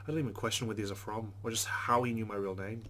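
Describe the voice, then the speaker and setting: A man's voice: lowish voice, steady tone, quick pace, fairly bright tone, a little hiss or hum. A man hosting a let's play, reading visual novel text aloud and adding informal, chatty commentary.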